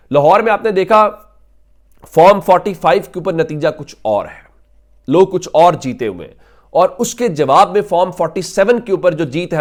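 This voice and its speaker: A man with a Pakistani accent giving political news commentary to viewers as a commentator, emphatic and fond of rhetorical questions. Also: a little high.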